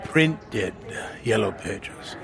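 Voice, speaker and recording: A man acting as a curt, secretive assassin in an audio drama, speaking tersely and haltingly. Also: fairly low pitch, animated, gravelly, fairly bright tone, full character voice. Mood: guarded, clipped.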